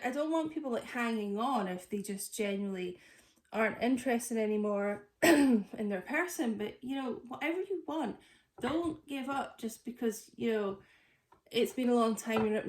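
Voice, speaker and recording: A woman's voice: a little high, slight background noise. A woman with a stuffy nose from a cold, chatting casually through a tarot reading with lots of fillers. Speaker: tarot reader. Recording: echoey room.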